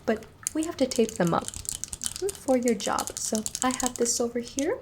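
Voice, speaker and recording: A woman playing a gently unsettling inhuman examiner in an ASMR horror roleplay, softly instructing and reassuring, drifting into whispers close to the microphone. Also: a little high, animated, moderate pace, slight background noise, natural voice. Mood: soothing, clinical. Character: gently unsettling female entity examiner preparing a human.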